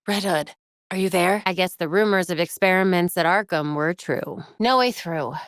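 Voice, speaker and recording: An actress playing a young, determined vigilante superheroine in a video game, with an American accent, caring and dryly witty in an earnest, conversational way. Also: female voice, lowish voice, moderate pace, no echo, studio quality, lightly acted. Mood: focused, investigative. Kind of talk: scripted game voice lines.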